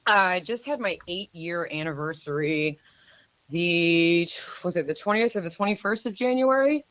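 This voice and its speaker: A woman with a notably deep voice talks as a guest in a casual interview, chatty and quick to laugh. She sits lower in pitch than most women. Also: expressive, a bit bright, poor audio. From United States.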